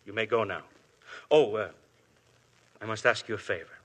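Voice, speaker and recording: An actor playing a shrewd police inspector who questions people with smooth persistence, in a 1950s radio drama. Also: male voice, fairly low pitch, moderate pace, fairly bright tone. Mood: probing. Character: shrewd, probing middle-aged police inspector.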